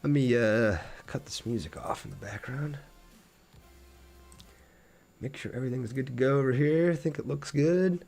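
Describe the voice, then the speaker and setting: A man's voice: medium pitch, moderate pace, vocal fry, long pauses, darkish tone, faint music behind. A man streaming a game, chatting casually and in a laid-back way with his viewers as he plays. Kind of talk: casual livestream chat over gameplay.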